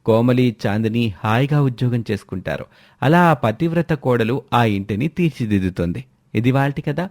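A man's voice: medium pitch, expressive, moderate pace, darkish tone. A man narrating a scripted moral story as a storyteller.

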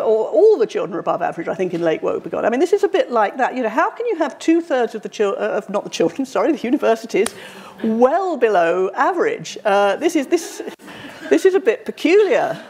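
A woman, giving a university public lecture in a conversational, wry way, her voice slightly croaky. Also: fairly high pitch, darkish tone. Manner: conversational, wry, with frequent fillers. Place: university lecture hall, indoors.

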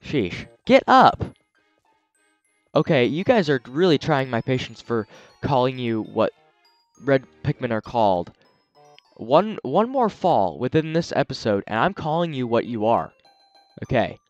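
Man, slightly raspy, sore throat, chatty let's play commentary, faint music behind. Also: medium pitch, big pitch swings, unhurried, no room sound, dull audio. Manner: chatty, explains as he plays, talks to his game characters. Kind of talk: casual gameplay commentary.

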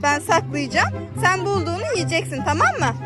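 A woman with an extremely high, animated voice speaks playfully to a small child as a mother would. She coaxes with short, repeated questions and prompts. Music plays behind her. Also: fairly quick. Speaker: mother hosting a family play video. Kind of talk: playful casual chat directed at a young child. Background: background music.

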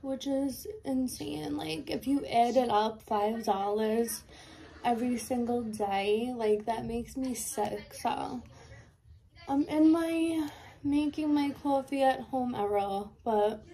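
A woman's voice: a little high, slow, gravelly, dark tone. Congested, chatty woman. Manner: chatty, candid, filler-heavy. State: congested and sniffly. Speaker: lash tech and beauty vlogger. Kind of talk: casual get-ready-with-me chat. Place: bedroom, indoors. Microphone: camera in front, close.

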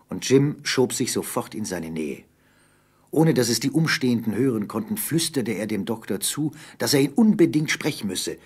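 Low, husky male storyteller. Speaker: narrator. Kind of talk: scripted storytelling narration for a radio play.

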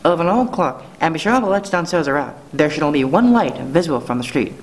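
A man's voice: fairly high pitch, lively intonation, quick pace, natural voice. A man playing a cool, controlled crime boss giving instructions in a 1940s-style radio play. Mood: cool, conspiratorial.

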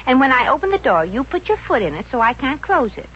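An actress playing a brash, brisk, bossy but soft-hearted working girl in a 1950s radio comedy. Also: sits high, big pitch swings, slightly rough, full character voice. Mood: bossy, coaxing. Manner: brisk, wisecracking. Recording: dull, hissy old radio recording.

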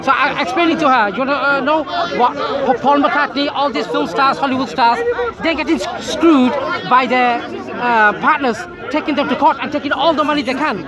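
A man's voice: very high-pitched, normal speed. A man arguing heatedly in a street debate in a park, insistent and repeating himself; his first language is not Arabic. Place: outdoors in a crowded city park. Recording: loud crowd around outdoors.

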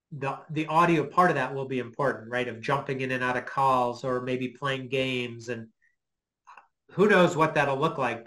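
A middle-aged man giving an informal lecture on a video call, explaining in a hesitant, halting way as he thinks aloud. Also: mid-range voice, sharp tone, poor audio. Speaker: guest lecturer. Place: small home office, indoors.

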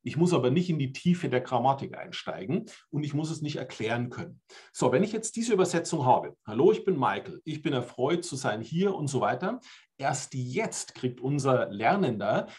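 A man's voice: mid-range voice, sing-song, moderate pace, a little mellow. A German man in his forties giving an explanatory online presentation on language learning, often adding "ne?" to check in with listeners. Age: 40s. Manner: explanatory, uses tag questions like "ne?". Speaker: language trainer. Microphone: webcam on video call.

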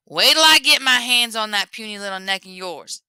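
A woman voicing a big, shaggy male animal in a folktale, gruff and grumbling in a blunt way. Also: medium pitch, moderate pace, sharp tone, no echo.

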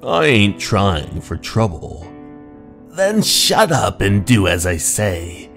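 A man voicing a gruff, domineering old lighthouse keeper who talks like an old-fashioned sailor in a horror manga story. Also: on the low side, very animated, slow, fairly bright tone, faint music behind, full character voice. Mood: stern. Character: gruff, bossy old male lighthouse keeper, superstitious seaman.

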